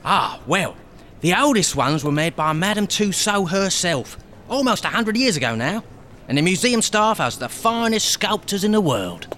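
Bright-voiced, hesitant young guard. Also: male voice, high-pitched, very animated, moderate pace, a little hiss or hum, heavily acted. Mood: polite. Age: young adult. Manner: hesitant, deferential. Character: hesitant young male British museum guard, polite. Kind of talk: radio drama acting.

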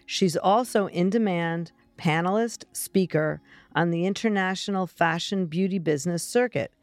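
A woman hosting an interview, asking quick, curious follow-up questions. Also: on the low side, darkish tone. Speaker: host. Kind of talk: interview, casual chat.

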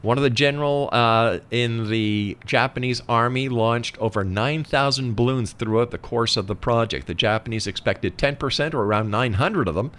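A man with a medium-pitched voice hosts a radio interview. He explains in an emphatic, conversational manner, sometimes shifting into reading out information. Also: fairly bright tone. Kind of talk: conversational interview with read-out facts.

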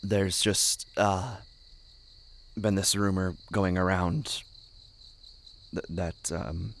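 A young man with a deep voice talks hesitantly, tripping over his words, as a male actor plays him. He is awkward and troubled, an audio drama camper, with faint night noise and crickets behind him. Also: steady tone, full character voice. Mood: awkward, anxious. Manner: halting, hesitant, stammering. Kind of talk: naturalistic audio drama dialogue. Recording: noisy background with chirping crickets.

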